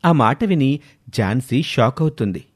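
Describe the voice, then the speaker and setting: A man's voice: medium pitch, lively intonation, moderate pace, breathy edge. A man reading a scripted story as its narrator.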